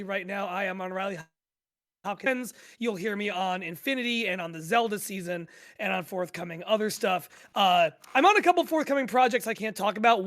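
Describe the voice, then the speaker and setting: A man's voice: sits high, a bit bright. An American man from upstate New York, a podcast performer chatting casually as he introduces and plugs projects. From upstate New York, United States.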